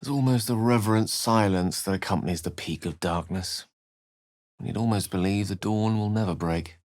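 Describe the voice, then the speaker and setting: A man's voice: on the low side, moderate pace, darkish tone, no room sound, clean studio sound, natural voice. A male actor voicing a bookish, courteous wizard for a video game, speaking in wry, brooding, articulate and literary phrases. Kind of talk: video game voice acting, narration and dialogue.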